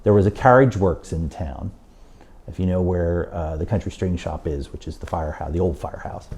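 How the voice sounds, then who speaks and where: A man serving as a local historian talks through the town's early records. His voice is very deep and husky, with a dark, mellow tone. He explains informally and sometimes restarts a phrase. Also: a little fast. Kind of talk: interview explanation.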